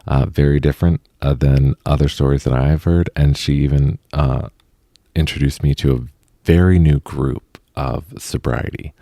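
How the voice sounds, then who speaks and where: A man's voice: a bass voice, steady tone, moderate pace, dark tone, no echo, clean studio sound. A man hosting a podcast interview, earnest and friendly, often repeating words as he starts a question. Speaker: podcast host. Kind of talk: podcast interview about sobriety.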